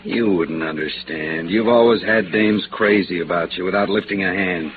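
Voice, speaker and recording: An actor playing a bitter, lovestruck American embezzler on the run, defensive and resigned, in 1950s radio noir. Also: male voice, low-pitched, normal speed, lightly acted. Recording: noisy, narrow-band vintage radio recording.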